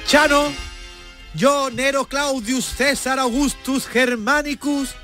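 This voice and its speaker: Pompous spoiled roman emperor, theatrical, really high pitched, radio sketch with some music. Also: male voice, fairly even intonation, takes it slowly.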